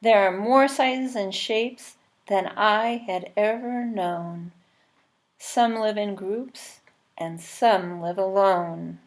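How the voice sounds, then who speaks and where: A woman's voice: medium pitch, slow pace. A woman voicing an earnest, wide-eyed young honeybee girl from a storybook, with a singsong delivery. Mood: proud, delighted.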